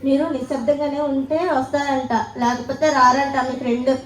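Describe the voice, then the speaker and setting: Female kids' show host, high voice, coaxing and interactive, echoey room with crowd behind. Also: flat delivery, brisk. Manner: coaxing, repeats questions to the audience. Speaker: children's puppet show host. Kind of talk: playful interactive hosting for children. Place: live children's event in a hall. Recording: echoey hall with crowd and faint noise.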